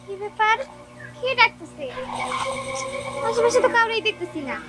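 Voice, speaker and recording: A man voicing a timid young villager who talks in a squeaky, fearful way. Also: moderate pace. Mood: puzzled. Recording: echoey, distant, noisy outdoors.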